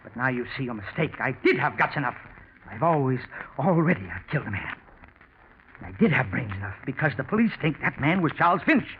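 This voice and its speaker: A man's voice: mid-range voice, big pitch swings, fairly quick, natural voice. An actor playing a middle-aged businessman turned murderer in a 1940s radio drama. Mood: gloating, commanding. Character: vengeful middle-aged businessman turned killer, posing as hobo. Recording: muffled, hissy 1940s radio recording.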